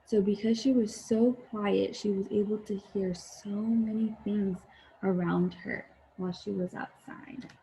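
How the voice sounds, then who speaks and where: Slow-paced woman reading to children. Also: medium pitch, fairly even intonation, vocal fry, mellow, slight background noise, poor audio. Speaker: teacher reading a picture book. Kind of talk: children's read-aloud, addressing young viewers.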